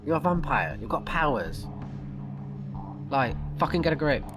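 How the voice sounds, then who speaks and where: A man's voice: on the high side, sing-song, normal speed. Blunt male gamer commenting over music. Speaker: gaming YouTuber. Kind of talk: casual let's play commentary, reading and reacting. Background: loud game music.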